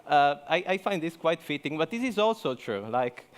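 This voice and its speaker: A man's voice: on the high side, sing-song, moderate pace, clear, resonant tone. Chatty man with fillers. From Italy. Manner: chatty, many fillers and restarts. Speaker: conference speaker. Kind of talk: joking conference talk. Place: conference hall.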